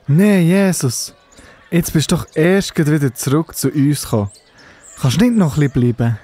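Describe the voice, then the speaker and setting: A man's voice: fairly high pitch, animated, normal speed, mellow, breathy edge. A man with a Swiss accent playing a pleading, attached disciple in a children's Bible audio play.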